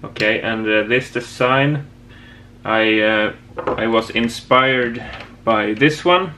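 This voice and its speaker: A man giving a casual hands-on project demonstration as a hobbyist presenter, with a hesitant, halting delivery. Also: on the low side, bright, forceful tone. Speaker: amateur radio hobbyist presenter. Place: indoor home workshop.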